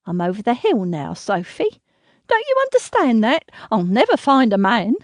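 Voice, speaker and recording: A woman's voice: big pitch swings, measured pace, dry recording, clean studio sound. A woman voicing a cruel, self-pitying, volatile middle-aged mother who berates and pleads by turns. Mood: pleading. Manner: shrill, berating, swinging to sobbing pleas.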